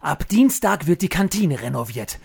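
Man acting a smug, animated office schemer. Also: on the high side, moderate pace, creaky, slightly rough, heavily acted. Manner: pompous, glib, mock-threatening. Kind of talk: comedic audio drama acting.

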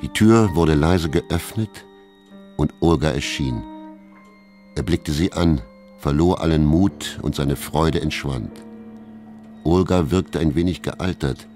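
Very deep-voiced older male narrator. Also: slow pace, pauses often, mellow. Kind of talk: measured literary narration for radio play. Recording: studio narration with faint music.

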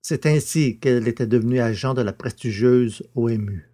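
Male storyteller with medium pitch. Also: normal speed, warm tone. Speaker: narrator. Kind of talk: scripted story narration.

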